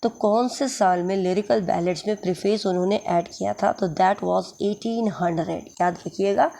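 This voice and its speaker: A woman with a hoarse throat and an Indian English accent, teaching English literature for exams and explaining each word slowly. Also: mid-range voice, mellow. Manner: slow, explaining word by word, checks understanding. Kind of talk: exam-prep lecture in mixed Hindi and English.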